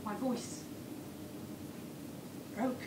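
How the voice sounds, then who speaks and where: Hushed woman storyteller on an echoey stage. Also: drawn-out words. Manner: present-tense narration, often low and hushed. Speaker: performer. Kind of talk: first-person theatrical storytelling. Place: theatre stage. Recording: distant, echoey room with background noise.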